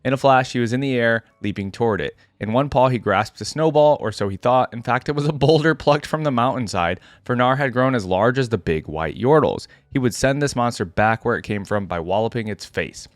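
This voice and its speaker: A man's voice: mid-range voice, brisk, hardly any pauses, no room sound, clean studio sound. A man hosting a reaction video, chatting informally with asides and reading text aloud. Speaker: YouTube host reacting to game lore.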